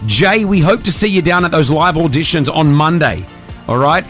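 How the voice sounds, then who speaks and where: A man's voice: fairly high pitch, animated, normal speed, a bit bright, soft background music. A man hosting a morning radio show from the studio, chatty and teasing with callers and guests. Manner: teasing, chatty, many fillers. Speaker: radio host. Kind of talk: casual radio banter.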